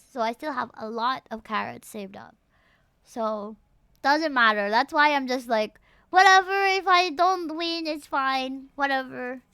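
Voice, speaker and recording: High-voiced Filipino woman livestreamer. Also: pushed and bright, smooth, dry recording. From Philippines. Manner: chatty, playful, reacting to chat. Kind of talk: casual livestream chat over a game, switching to reading the screen. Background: music.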